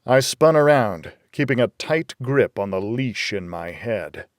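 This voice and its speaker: Male narrator, medium pitch, for a noir thriller audiobook; wry hardboiled storyteller, dry studio-close sound. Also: lively intonation, unhurried, no echo. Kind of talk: scripted first-person noir thriller narration.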